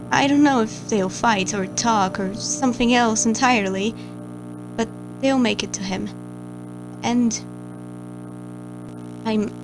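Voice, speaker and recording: A woman's voice: fairly high pitch, poor audio. A woman voicing a stammering, anxious watcher. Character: anxious, guilt-ridden woman watching camera feeds. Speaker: narrator of a horror log. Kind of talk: confessional horror log narration. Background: music.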